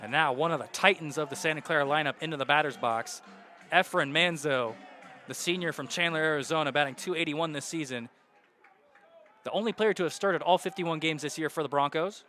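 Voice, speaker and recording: A young man calling baseball play-by-play on radio, walking through counts and base runners in a steady flow. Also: fairly high pitch, a little fast, soft background music. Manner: steady count-and-situation narration, rising loud on hits. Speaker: play-by-play announcer.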